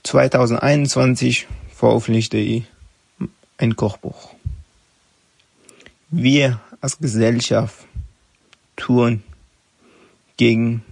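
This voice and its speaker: A young man from Afghanistan, giving a halting personal statement in simple phrasing. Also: mid-range voice, steady tone, gravelly, a little mellow, breathy edge, dry recording. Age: young adult.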